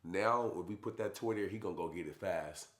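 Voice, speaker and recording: Hoarse laid-back young man. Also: low voice, fairly quick. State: hoarse from being sick. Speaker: vlogger, father. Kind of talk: casual chat.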